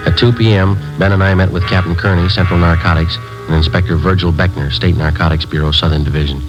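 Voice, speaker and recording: A man playing a terse, deadpan American police sergeant in a 1950s radio drama, giving clipped case narration. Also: low-pitched, fast talker, over music, lightly acted. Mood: matter-of-fact. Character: terse, matter-of-fact male police sergeant narrating his cases. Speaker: narrator and lead police detective. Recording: muffled old radio broadcast recording.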